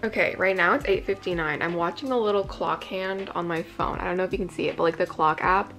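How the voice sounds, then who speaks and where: A young woman from California chatting casually in a vlog, excitable and full of "like", her voice a bit raspy. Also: on the low side, fast, faint music behind. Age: young adult. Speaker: incoming college student vlogger.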